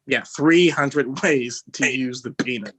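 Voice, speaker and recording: Bright-voiced Texan man doing stand-up. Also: medium pitch, slight background noise. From Texas. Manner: halting delivery with many fillers. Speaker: stand-up comedian. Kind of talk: stand-up set.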